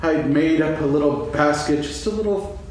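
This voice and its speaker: A man playing a friendly, slow-witted storybook bear in a staged reading, bumbling and repeating himself. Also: fairly high pitch, darkish tone, lightly acted. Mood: earnest, muddled. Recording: echoey stage, distant mic, faint noise.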